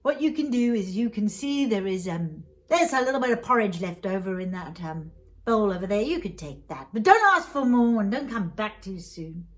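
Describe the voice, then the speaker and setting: A woman's voice: medium pitch, animated, moderate pace, lightly acted. A woman voicing a greedy rich brother in a folk tale, grudging and dismissive, with a whining, wheedling manner.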